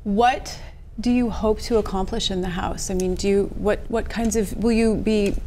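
A middle-aged woman with an American accent, moderating a television interview with composed, clearly phrased questions. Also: mid-range voice, steady tone, normal speed, warm tone, slight background noise. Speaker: TV program moderator. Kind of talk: public affairs interviewing. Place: small indoor TV studio. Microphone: lapel mic.

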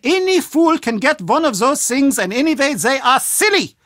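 Bossy high-strung lady in a video game, really high voice, curt, dry close sound. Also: very animated, moderate pace, a bit bright, no room sound. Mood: dismissive.

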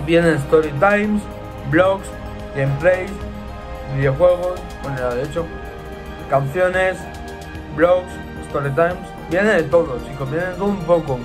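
A man's voice: fairly high pitch, a little slow, music in the background. A man, answering follower questions in a casual, repetitive vlog to a webcam in a small room. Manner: chatty and repetitive, gesturing a lot with his hands. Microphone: laptop webcam.

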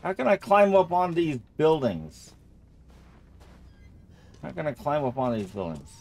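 A man's voice: fairly high pitch, sing-song, a little slow, gravelly, darkish tone. A man giving casual let's-play commentary, thinking aloud and often repeating his phrases as he goes. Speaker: gamer narrating own playthrough.

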